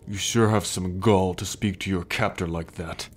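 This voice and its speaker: A man's voice: low-pitched, fairly even intonation, normal speed, husky. An actor playing an arrogant, brooding male sun god in an ASMR roleplay drama, with a scornful, formal, imperious manner. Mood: haughty anger. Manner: haughty, scornful, formal, sighing. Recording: background music under the voice.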